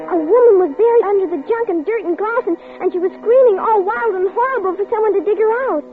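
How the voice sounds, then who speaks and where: A frightened, insistent little girl, tells her story in a very high voice. She pleads near tears. The sound is a thin, tinny old radio broadcast with music behind it. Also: fast talker. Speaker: child narrator and lead. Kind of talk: 1950s radio drama acting.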